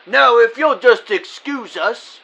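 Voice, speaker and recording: A man playing a gloating, menacing thug in an audio drama, taunting with drawn-out words. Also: very high voice, vocal fry, sharp tone, lo-fi sound, exaggerated voice.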